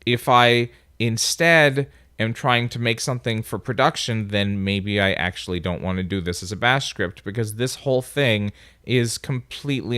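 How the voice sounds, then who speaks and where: A man's voice: fairly low pitch, a bit bright, clean tone, no room sound, clean studio sound. A man with a nasal voice casually talking through a coding tutorial, thinking aloud as he types commands. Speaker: instructor. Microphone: voice-over on a screen recording.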